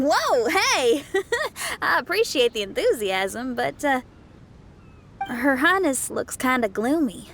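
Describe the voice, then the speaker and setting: A woman's voice: high-pitched, sing-song, slow, a bit bright, a little hiss or hum, exaggerated voice. A woman playing an easygoing, modest young woman in a video game, with a friendly, folksy manner. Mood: friendly, upbeat. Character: easygoing, modest young female camp cook.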